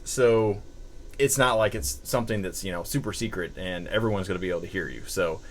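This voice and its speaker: Deep-voiced American man, casual gear reviewer. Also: a little hiss or hum. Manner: rambling, conversational, full of hedges and asides. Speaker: gear reviewer presenting a product. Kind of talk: casual unscripted product review.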